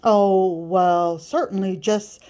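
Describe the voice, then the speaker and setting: A woman's voice: mid-range voice, fairly even intonation, slow, mellow, natural voice. A woman voicing a bargaining, wheedling male slave trader with rustic grammar in an audiobook. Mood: alarmed, placating. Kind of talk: audiobook character voice.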